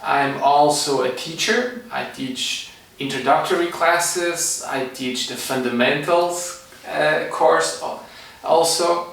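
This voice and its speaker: A middle-aged man from Portugal with a Portuguese accent, giving an informal online class in halting, non-native English as an energy medicine teacher. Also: medium pitch, vocal fry, a bit bright. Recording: echoey room, distant microphone.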